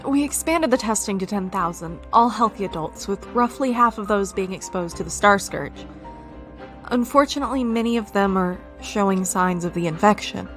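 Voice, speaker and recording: A woman's voice: mid-range voice, normal speed, fairly bright tone, faint music behind, lightly acted. A woman playing an anxious, deferential doctor in an audio drama, hesitant and nervous. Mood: uneasy.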